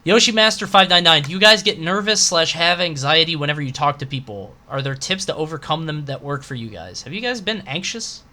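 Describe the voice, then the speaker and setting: Blunt, bright-voiced joking man, fairly high-pitched. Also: animated, a little fast, hardly any pauses. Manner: blunt, joking, sarcastic, swears freely. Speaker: podcast co-host reading listener questions. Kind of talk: casual banter.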